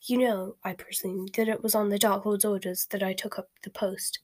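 A woman reading the lines of a cold, sardonic middle-aged male wizard in a hushed, breathy voice. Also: mid-range voice, little pitch movement, no room sound, natural voice. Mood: cool, sardonic. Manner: slow, sneering, measured.

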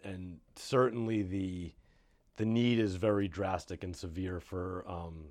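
A man with a North American accent speaking as an interview guest in a casual podcast, in a hesitant, halting way with many pauses. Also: low-pitched, fairly even intonation, darkish tone. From suburbs of Boston, United States. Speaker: interview guest, nonprofit development director.

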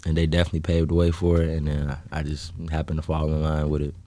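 A man's voice: a bass voice, completely flat delivery, fast, dark tone, no echo. A man in his twenties with an American accent, chatting casually as an interview guest in laid-back, slangy phrasing. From Chicago south suburbs, US. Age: 20s. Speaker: interview guest, music artist.

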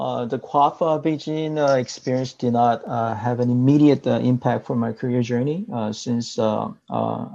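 A man from Korea with a Korean accent, answering interview questions in careful English with many filler pauses. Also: mid-range voice, creaky, dark tone. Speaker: interview guest. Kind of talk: recorded video call interview. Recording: dull muffled audio.

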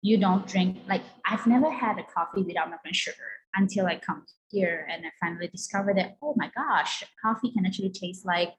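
Chatty Malaysian-born woman, slightly low voice. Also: brisk, hardly any pauses, poor audio. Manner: chatty, peppers speech with "like". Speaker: guest, coffee company co-owner. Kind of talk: casual interview answers. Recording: clear background noise.

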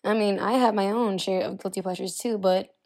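A young woman hosting a casual solo podcast, her voice hoarse, husky and raspy while she recovers from being sick, chatty and quick to trail off and restart. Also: medium pitch, steady tone, a little mellow. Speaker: podcast host. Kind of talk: casual solo podcast, reading posts aloud.

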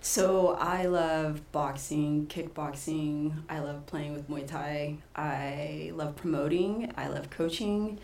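A woman who coaches and promotes boxing, telling her story in a soft-spoken, casual way. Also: low-pitched, steady tone, slow, hardly any pauses. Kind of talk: casual interview answers. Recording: echoey room.

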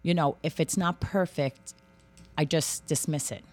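A woman's voice: low voice, unhurried, darkish tone. Heartfelt chatty woman, many fillers. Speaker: podcast host. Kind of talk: casual group chat.

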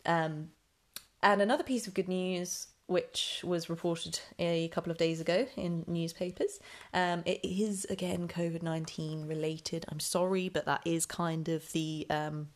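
A woman's voice: on the low side, steady tone, measured pace. A young woman hosting a casual solo podcast, chatty and rambling with lots of ums, sounding slightly nasal and snuffly. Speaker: podcast host.

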